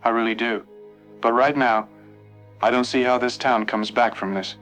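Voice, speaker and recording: A man's voice: mid-range voice, steady tone, moderate pace, fairly bright tone, natural voice. A man plays a weary, frightened night-shift motel clerk who hasn't slept, talking in an anxious, worn voice over faint music. He sounds jumpy and unconvinced by any comfort. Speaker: caller.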